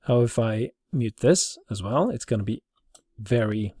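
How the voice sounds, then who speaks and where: A man giving an informal tutorial as a teacher, explaining in a halting way. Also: mid-range voice, expressive, mellow, breathy edge, no room sound, clean studio sound. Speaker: teacher demonstrating audio production.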